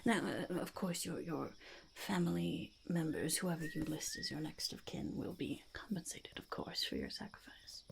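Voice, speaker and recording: A woman's voice: fairly low pitch, expressive. Girl doing a quirky goblin whispering asmr interview questions, super crackly, mutters and repeats stuff. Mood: matter-of-fact, sly. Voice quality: breathy whisper with heavy creak. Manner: halting, muttering, repeats phrases, speaks of self in third person. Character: quirky, scheming goblin interviewer seeking a sidekick.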